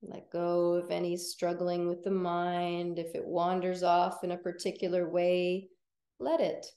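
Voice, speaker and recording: A woman teaching yoga nidra, guiding relaxation in a gentle, soothing, unhurried manner with long pauses. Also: on the low side, monotone, roomy sound. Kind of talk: guided relaxation meditation.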